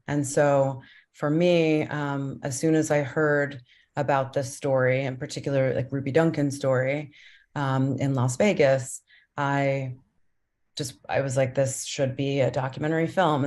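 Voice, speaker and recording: Colombian-born woman director, really low voice, lots of ums, thinking out loud. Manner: thoughtful, many ums and restarts. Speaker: documentary director. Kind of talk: panel conversation.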